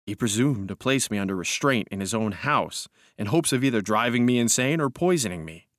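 A man's voice: mid-range voice, moderate pace, no echo, clean studio sound, natural voice. A man voicing a deluded, imperious madman in a storybook reading, grandiose and commanding, with simmering rage. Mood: controlled menace.

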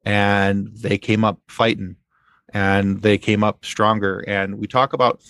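Low, gravelly man chatting on a podcast. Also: little pitch movement, a little slow, fairly bright tone, no echo. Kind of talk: casual podcast chat.

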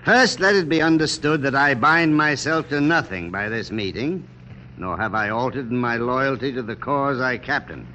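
An actor playing a grave, commanding army general in old radio drama, speaking in a formal, stately way. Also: male voice, mid-range voice, moderate pace, sharp tone, close to an everyday voice. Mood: formal, resolute. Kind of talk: 1940s-50s radio drama acting. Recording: faintly noisy old radio recording.

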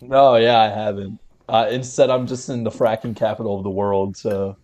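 A man's voice: on the low side, steady tone, moderate pace, darkish tone. A man co-hosting a podcast, making dry wisecracks in casual chat. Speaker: podcast co-host.

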